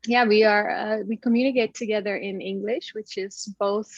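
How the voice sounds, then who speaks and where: A woman's voice: mid-range voice, fairly even intonation, normal speed, no room sound, dull audio. A woman whose first language is Dutch, talking in a casual interview with many fillers and frequent laughs. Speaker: podcast guest. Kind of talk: casual conversation.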